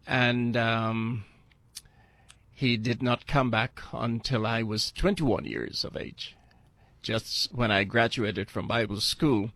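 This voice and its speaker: An older man, telling his personal story earnestly and deliberately as an interview guest, repeating phrases for emphasis. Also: mid-range voice, fairly bright tone, dry recording. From Barbados.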